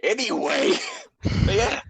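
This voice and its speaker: A man's voice: high voice, animated, takes it slowly, creaky, fairly bright tone, slight background noise. A man hosting an online show in casual, rambling banter, self-deprecating and prone to swearing.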